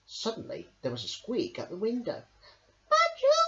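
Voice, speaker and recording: A young male reading a children's storybook aloud in a deliberate, dramatic way. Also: a little high, huge pitch swings, a little mellow, lo-fi sound. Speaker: storybook reader. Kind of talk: scripted children's story narration.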